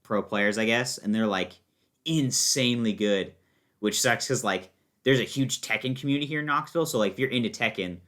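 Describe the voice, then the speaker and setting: A man's voice: medium pitch, fairly quick, a bit bright. A young American man chatting casually as a podcast guest, explaining things in an informal, rambling way full of fillers. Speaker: podcast guest, fighting game player.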